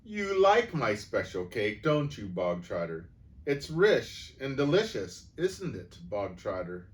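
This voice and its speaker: A man voicing a furious, bullying headmistress who barks and booms insults at a child. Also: big pitch swings, slow pace. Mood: silky menace. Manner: booming, barking insults. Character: bullying hulking headmistress, woman, furious and cruel.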